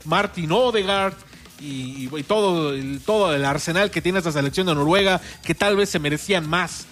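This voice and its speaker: High-voiced Mexican radio host. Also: male voice, normal speed, fairly bright tone. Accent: Mexican Spanish. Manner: chatty, many fillers and restarts. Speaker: radio sports show host. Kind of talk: casual radio chat. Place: radio studio.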